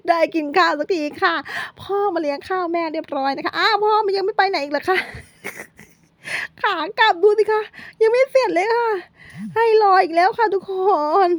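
Chatty high-voiced woman vlogging a trip. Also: normal speed, no room sound. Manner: chatty, addresses viewers constantly, playful self-corrections. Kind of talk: chatty travel vlog narration.

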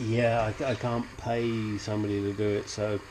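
A man's voice: lowish voice, little pitch movement, normal speed, noisy background. An older man with a sniffly nose giving a blunt, chatty walkthrough of his engine work in a home garage. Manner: blunt, chatty, self-deprecating. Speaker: hobbyist engine restorer. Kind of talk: casual workshop walkthrough. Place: cluttered home garage workshop.

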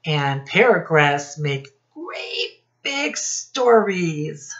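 A middle-aged woman giving a read-aloud lesson to young children, speaking deliberately and instructively as their teacher. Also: low voice, sing-song, lo-fi sound. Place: small indoor room with posters.

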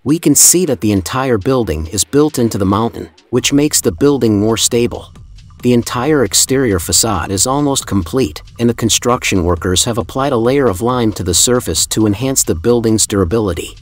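A man's voice: medium pitch, lively intonation, normal speed. Man reading a formal documentary voice-over. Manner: formal, admiring, evenly paced reading. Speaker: narrator. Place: voice-over, not the pictured building site. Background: soft background music.

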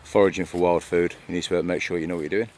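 A man's voice: low voice, steady tone, fast, a little mellow. A middle-aged English man with a Lancashire accent, a bushcraft instructor explaining things casually outdoors with fillers and restarts, recorded on a camera mic. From England. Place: outdoors in a grassy meadow by woodland. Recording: faint outdoor background noise.

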